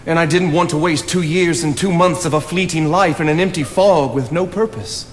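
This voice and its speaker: A man acts an earnest, brooding writer of the 1800s in a stage drama. His voice sits fairly high and he declaims with deliberate conviction. It rings in a theatre with faint background noise. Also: big-room sound, close to an everyday voice. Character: earnest, idealistic 19th-century writer, brooding and impassioned.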